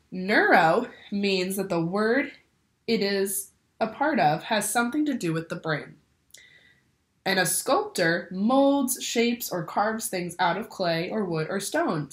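Young woman reading aloud in echoey room. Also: mid-range voice. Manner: deliberate, instructive, addressing young listeners. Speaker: teacher or librarian reading to children. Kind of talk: children's picture book read-aloud.

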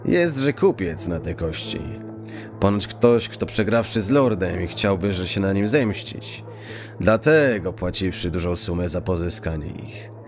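An actor playing a scheming, chummy street thief who talks in quirky, halting, mock-archaic phrases. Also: male voice, deep voice, expressive, darkish tone, soft background music. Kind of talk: acted fantasy audio drama dialogue.

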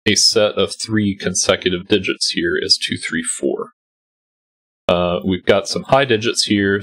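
A man's voice: low-pitched, fairly bright tone, husky. Stuffy-nosed man explaining a puzzle. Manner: patient, explanatory, thinking aloud. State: stuffy, congested. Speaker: host solving a sudoku puzzle. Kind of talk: calm step-by-step puzzle walkthrough. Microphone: voice-over on a screen recording.

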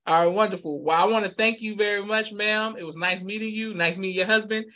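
Bright, upbeat podcast host man. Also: high voice, fairly even intonation, quick pace, lo-fi sound. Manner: upbeat, laughs often, repeats "you can't go wrong". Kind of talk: casual interview over video call.

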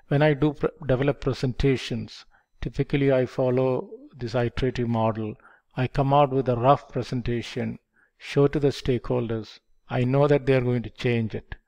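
An older man explaining project life cycles step by step, in a measured lecture delivery on a headset microphone. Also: medium pitch, vocal fry, darkish tone. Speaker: project management instructor. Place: dim indoor room. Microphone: headset mic.

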